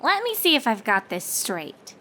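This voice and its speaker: A bookish young mare from a cartoon story, played by a woman reader, polite but distracted. The voice is high and lively, with a hesitant, plain-spoken delivery. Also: moderate pace, exaggerated voice. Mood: puzzled. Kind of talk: cartoon fan-fiction character reading.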